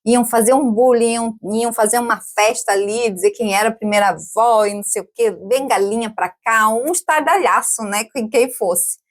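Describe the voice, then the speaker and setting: Chatty woman in her forties, slightly high. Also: moderate pace, hardly any pauses. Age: 40s. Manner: chatty, laughs often, many tag questions. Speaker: podcast guest. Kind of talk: casual interview chat.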